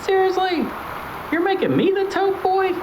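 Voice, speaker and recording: A man's voice: extremely high pitch, animated, normal speed, background noise. A young man playing a sarcastic, cocky eighteen-year-old crew member in an audiobook drama, mouthy and theatrical. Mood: indignant. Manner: mouthy, theatrical complaining and joking. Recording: loud ambient sound effects behind.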